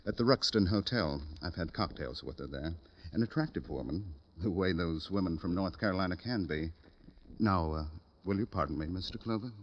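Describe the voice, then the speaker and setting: An actor playing a self-important, fussy book publisher in an old radio drama, talkative and pompous. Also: male voice, sits low, lively intonation, moderate pace, very noisy. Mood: confiding, pompous. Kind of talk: 1950s radio drama acting. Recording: dull old radio recording.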